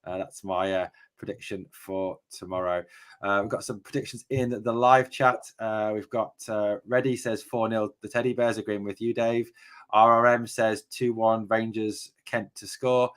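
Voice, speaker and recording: A man hosting a casual fan podcast, speaking haltingly with many fillers and restarts. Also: on the low side, fairly even intonation. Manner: halting, lots of fillers and repeated starts. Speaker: podcast host.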